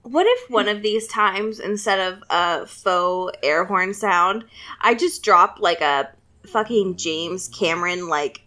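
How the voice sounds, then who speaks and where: A woman's voice: medium pitch, slow, pushed and bright. A young adult woman co-hosting a podcast in casual comedic banter, wry, teasing and sweary. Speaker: podcast co-host.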